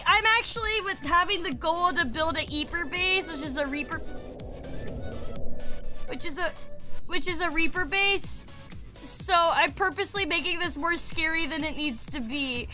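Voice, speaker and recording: A woman's voice: very high-pitched, animated, normal speed, bright, forceful tone. A young woman streaming a game, sick and losing her voice, chatting casually, swearing and shrieking when startled. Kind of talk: casual gameplay streaming chat. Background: faint game music.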